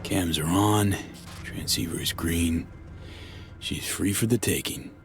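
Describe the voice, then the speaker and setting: An actor playing a wary, streetwise mercenary in a video game, speaking in terse, casual slang. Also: male voice, sits low, steady tone, takes it slowly. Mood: cool, focused. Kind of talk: cinematic video game acting.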